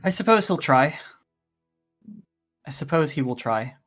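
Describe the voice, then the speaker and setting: A man's voice: fairly high pitch, expressive, a little slow, fairly bright tone. A man running a tabletop game casually as game master. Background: music.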